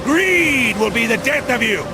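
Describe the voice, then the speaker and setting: A man's voice: very high-pitched, animated, unhurried, over loud music, exaggerated voice. A man playing a fierce, zealous crusader commander, giving declamatory battle speeches to his troops in a video game. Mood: stern, threatening. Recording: loud battle noise behind the voice.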